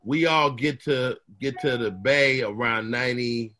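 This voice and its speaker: A man's voice: mid-range voice, a little slow. A man from Chicago who is a comedian, telling stories in casual conversation and acting out other people's voices. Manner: animated storyteller, acts out voices.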